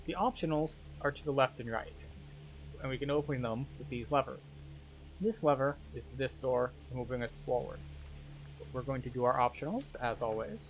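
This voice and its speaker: A man's voice: mid-range voice, normal speed, a little hiss or hum, low-quality recording. A man narrating a video game walkthrough as a tour guide, explaining each step. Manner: explanatory, guiding.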